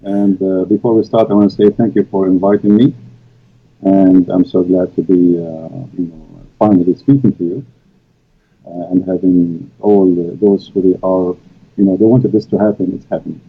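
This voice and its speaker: Man, native Arabic speaker, low gravelly voice, hesitant with many fillers, tinny phone-like sound. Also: flat delivery. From Middle East. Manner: halting, many fillers, non-native grammar. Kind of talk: casual live-stream conversation. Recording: tinny call audio.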